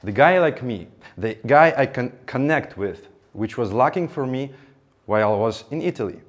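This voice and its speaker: Man, mid-pitched, telling a personal story on stage and voicing the people in it. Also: normal speed. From Ukraine. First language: Ukrainian. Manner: animated, acts out dialogue. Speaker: speaker. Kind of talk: motivational storytelling speech.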